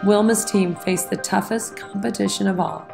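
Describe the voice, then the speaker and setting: A woman narrating a children's story from a script with expressive, dramatic phrasing. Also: mid-range voice, moderate pace, creaky. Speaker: children's read-aloud narrator. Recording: music behind the voice. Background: background music.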